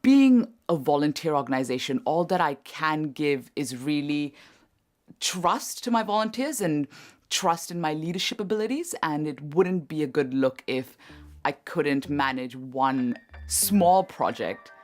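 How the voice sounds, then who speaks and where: A woman's voice: deep voice, expressive, unhurried, a little mellow, soft background music. A woman narrating a documentary and informally leading a group as its presenter. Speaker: youth programme leader and presenter.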